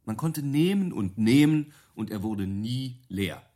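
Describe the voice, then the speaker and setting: An actor in a radio play voicing a confident business consultant who pitches in a deliberate, rhetorical way. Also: male voice, animated, warm tone. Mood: measured, persuasive. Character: confident male business consultant pitching a bold idea, measured. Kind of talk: radio play dialogue, deliberate persuasive presentation.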